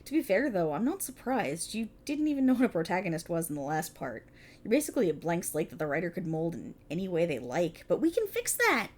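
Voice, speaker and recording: A woman's voice: lowish voice, wildly swooping pitch, fairly quick, a little mellow. A woman playing a prickly, exasperated police officer in a scripted ASMR roleplay, talking in a wry, bossy, explanatory way to an unheard partner. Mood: wry, encouraging. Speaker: voice actor in audio roleplay. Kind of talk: scripted ASMR roleplay, one-sided dialogue.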